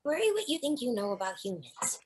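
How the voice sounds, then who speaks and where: An actress voicing an eager, earnest, nerdy teenage girl in a cartoon, with an excitable manner. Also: a little high, quick pace. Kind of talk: animated cartoon table read.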